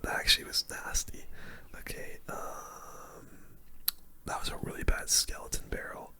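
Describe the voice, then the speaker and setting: An ASMR gaming host speaks entirely in a soft, breathy whisper with a very bright, sibilant edge. The delivery is casual gameplay commentary, peppered with slang and mild swearing. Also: very high-pitched.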